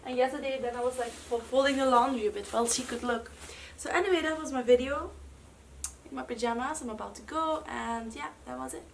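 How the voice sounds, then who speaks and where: A young woman speaking English with a Dutch accent, her voice sitting a little high. She rambles casually at home with plenty of fillers, sounding a bit away from the mic over faint room noise. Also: fairly quick, creaky, distant mic. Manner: chatty, with many fillers. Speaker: vlogger. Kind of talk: casual chat.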